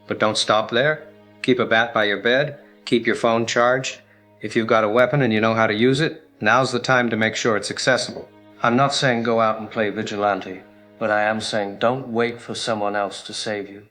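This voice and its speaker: A man's voice: lowish voice, steady tone, moderate pace, pushed and bright, soft background music. An actor playing a male hardware store owner who calls a radio show and talks bluntly and practically. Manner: blunt, practical, matter-of-fact. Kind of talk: audio drama acting.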